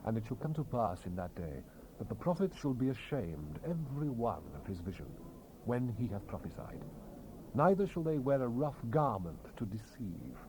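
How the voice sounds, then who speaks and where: A man's voice: medium pitch, sing-song, moderate pace. A man giving a solemn, declamatory dramatized reading of King James scripture, in the manner of a prophet, on an old cassette recording. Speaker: scripture narrator. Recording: hissy 1970s cassette rip, ambient sound behind.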